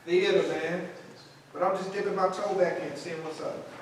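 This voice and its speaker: A man's voice: high voice, fairly even intonation, moderate pace, a little hiss or hum, close to an everyday voice. A man playing an earnest, guarded struggling actor in his early thirties in a staged reading. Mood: guarded, quiet. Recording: very echoey room, distant mic.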